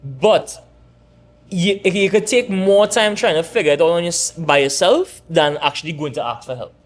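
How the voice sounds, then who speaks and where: A man in his thirties from Trinidad, with a Trinidadian accent, talking relaxed and rambling as a barbershop owner in an interview. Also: a little high, normal speed. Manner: relaxed, rambling with restarts and laughs. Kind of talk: casual interview chat.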